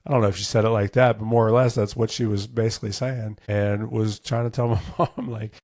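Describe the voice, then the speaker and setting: A man's voice: fairly low pitch, level tone, brisk, a little mellow, no room sound, clean studio sound. An American man in his fifties hosting his own show, reminiscing in a rambling, casual solo monologue full of self-corrections. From United States. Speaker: show host.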